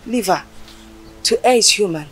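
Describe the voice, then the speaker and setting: An actress with a Nigerian accent playing a calm, forgiving wife who gently pleads. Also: female voice, mid-range voice, moderate pace, faint music behind, lightly acted, rough recording. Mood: calm pleading.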